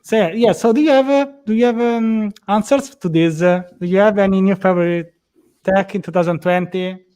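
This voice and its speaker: A man with an Italian accent hosting a video call, talking haltingly and repeating words. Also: sits high, warm tone, clear, resonant tone. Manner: hesitant, repeats words, friendly. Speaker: host. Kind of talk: casual video call chat.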